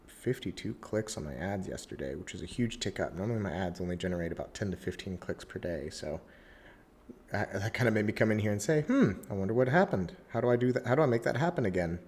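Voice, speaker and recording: Courteous male agent narrating his call day, lowish register, slight hum behind. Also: a little mellow. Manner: polite and courteous, says ma'am; speaks Spanish as a second language. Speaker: real estate agent. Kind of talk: working vlog of follow-up sales calls.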